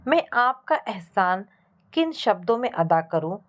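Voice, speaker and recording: A woman's voice: mid-range voice, big pitch swings, measured pace, dull audio. A woman narrator voicing a polite, earnest young working man with formal courtesy in a family story. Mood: grateful.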